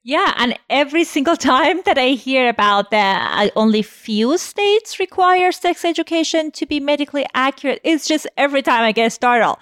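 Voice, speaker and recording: Woman therapist from iran, kinda high voice, thoughtful, dry close audio. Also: lively intonation, a bit bright, no room sound. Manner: thoughtful, measured, with occasional uh fillers. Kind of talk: conversational podcast chat.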